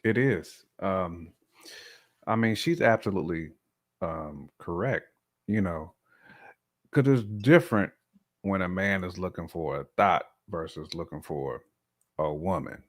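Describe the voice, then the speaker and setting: A man's voice: low-pitched, measured pace, pauses often. A man co-hosting a talk show, giving his opinions casually in colloquial, slangy speech. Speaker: podcast co-host giving a man's point of view.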